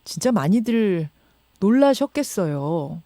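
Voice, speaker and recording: Animated woman hosting radio news. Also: mid-range voice, measured pace, no echo. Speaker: radio news show host and interviewer. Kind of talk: live radio news interview, conversational. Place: radio studio. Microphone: close studio microphone.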